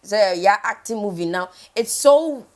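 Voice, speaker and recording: A woman's voice: medium pitch, lively intonation, unhurried, a little mellow. A woman with a Ghanaian accent chatting casually as a morning TV host, switching between two languages. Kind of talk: casual TV chat, code-switching.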